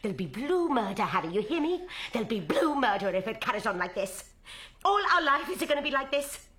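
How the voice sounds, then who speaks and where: Fiery, high-pitched nagging wife. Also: female voice, big pitch swings, brisk, fairly bright tone. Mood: reproachful. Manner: scolding, sarcastic, emotionally volatile. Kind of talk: dramatic stage-play acting.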